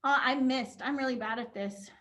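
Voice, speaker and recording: Bright, high-voiced upbeat woman teacher. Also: normal speed. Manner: upbeat, encouraging, explains step by step. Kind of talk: leading a pantomime activity online.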